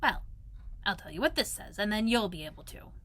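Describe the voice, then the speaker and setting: A woman's voice: mid-range voice, very animated, a little fast, a bit bright, no room sound. A woman voicing a fussy, self-important, learned male owl in a storybook, pompous but a little hesitant. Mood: fussy, anxious.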